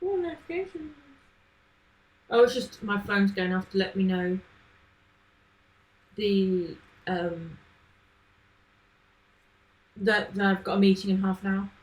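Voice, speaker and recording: A woman's voice: mid-range voice, slow, long pauses, a little mellow, low-quality recording. A woman chatting casually on a live coding stream, thinking aloud and answering questions from chat. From not from Manchester. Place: home, living in Manchester.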